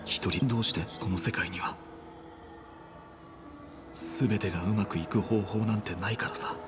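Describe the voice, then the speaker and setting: A solemn young hero played by a man speaks of destiny in a dramatic game style. The voice is low and soft, trailing into breath, yet moves briskly over prominent music. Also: fairly even intonation, natural voice. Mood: solemn, resolute. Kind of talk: dramatic Japanese game voice acting. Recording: game music under the dialogue.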